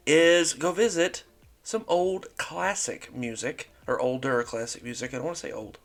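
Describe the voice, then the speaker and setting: A man's voice: on the high side, a bit bright. A man hosting a music reaction show, chatting casually and upbeat between his comments. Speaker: host of music reaction channel.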